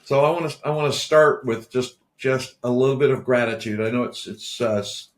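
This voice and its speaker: A man's voice: medium pitch, fairly quick. Rambling male podcast host in a roomy space. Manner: rambling, repeats words and restarts often. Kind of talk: casual interview chat. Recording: roomy echo.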